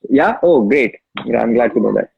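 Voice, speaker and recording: A man's voice: medium pitch, huge pitch swings, normal speed, gravelly. A man from Maharashtra with a Marathi-accented Indian English, telling his story emphatically as an interview guest. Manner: emphatic, storytelling, repeats key phrases. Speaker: interview guest, app founder. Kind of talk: casual interview talk. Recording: dull lo-fi sound.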